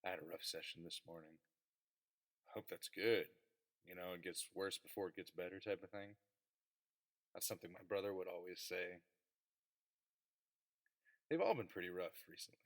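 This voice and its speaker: A man's voice: low-pitched, normal speed, long pauses, lightly acted. An actor playing a jittery, exasperated male patient in a radio drama, rambling and wounded. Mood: subdued, resigned. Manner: rambling, agitated.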